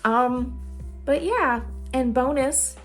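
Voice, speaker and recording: High-pitched giggly woman chatting casually. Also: slow pace. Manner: giggly, laughs often while talking; informal, rambling, self-deprecating. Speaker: video host giving game recommendations. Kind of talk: casual chatty recommendation vlog. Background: music playing under the voice.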